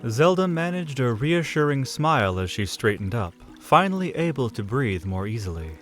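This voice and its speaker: A man narrating an audio drama in a measured storytelling manner. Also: mid-range voice, sing-song, fairly bright tone, soft background music. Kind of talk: scripted narration.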